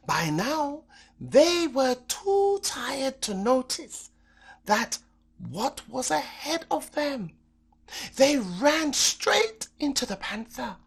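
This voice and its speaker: A woman reading a children's story as an expressive storyteller, with dramatic, deliberate phrasing. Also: on the high side, vocal fry, husky.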